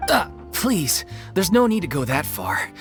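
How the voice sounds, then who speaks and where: An actor playing an earnest, worried leader of an amateur theater troupe in a video game. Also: male voice, a little high, huge pitch swings, unhurried, over music.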